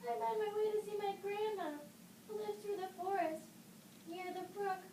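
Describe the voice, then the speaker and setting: A woman plays an innocent little girl from a storybook puppet show. The voice is extremely high and childlike, with slow, singsong phrasing. It sounds distant from the mic, with clear background noise. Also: steady tone, mellow, roomy sound. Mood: cheerful. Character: sweet, innocent little girl in a fairy tale.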